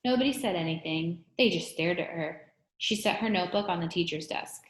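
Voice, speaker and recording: Woman, slightly low voice, children's book read-aloud, echoey room. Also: a little fast, slight background noise.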